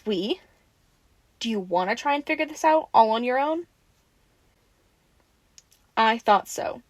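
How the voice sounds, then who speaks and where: A woman's voice: fairly high pitch, dry recording, close to an everyday voice. A woman playing a teasing, bossy but caring vampire in an audio roleplay, talking informally and expressively to the listener. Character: teasing, sassy, caring female vampire taking in a newly turned one.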